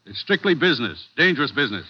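Stern American commissioner, muffled radio. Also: male voice, mid-range voice, big pitch swings, slightly rough. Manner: brisk, authoritative orders. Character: stern, businesslike government commissioner briefing his agent. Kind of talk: 1940s radio drama acting. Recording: dull vintage radio recording.

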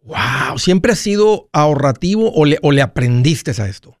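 A man's voice: fairly high pitch, animated, moderate pace, no echo, studio quality. A man hosting a call-in advice show, talking in a folksy, conversational way. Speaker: host of a personal finance call-in show.

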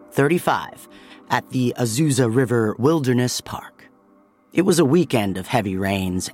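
An actor playing a storyteller who narrates a detective case file deliberately, with dramatic pauses, in an audio drama. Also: male voice, medium pitch. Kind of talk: scripted case-report narration.

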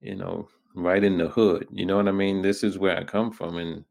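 A man in his fifties from New York hosts an interview. His very low voice is gravelly with fry, and he keeps it flat and level. He speaks in a measured, thoughtful way. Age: 50s. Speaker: host. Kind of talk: radio interview.

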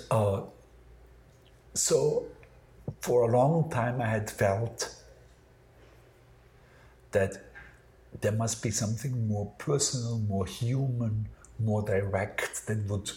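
Male designer interviewee, Austrian accent, native German speaker. Slightly low pitch, reflective and halting, given to anecdote. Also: darkish tone, a little hiss or hum.

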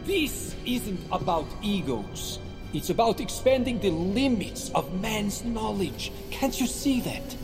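A man's voice: sits high, very animated, slow pace, music in the background, exaggerated voice. A man playing a grandiose, smug professor who commands a secret project in an audio drama. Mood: grandiose, commanding. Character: grandiose, coldly proud male Russian professor leading a secret launch.

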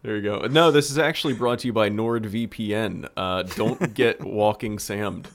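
A man's voice: fairly low pitch, unhurried, vocal fry. A man in a casual roundtable chat, explaining things in an analytical way as a show creator.

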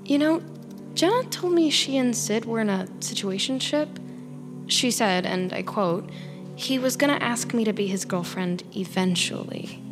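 A woman's voice: fairly high pitch, expressive, a little slow, over music. A woman playing a flippant young office worker who is a killer, chatty and slangy, in an audio drama. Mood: wry storytelling. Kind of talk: conversational audio drama acting and first-person narration.

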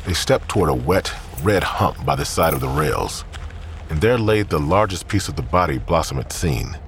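A man narrating a crime audio drama in a measured, grim way. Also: rumbling low, expressive, a little hiss or hum.

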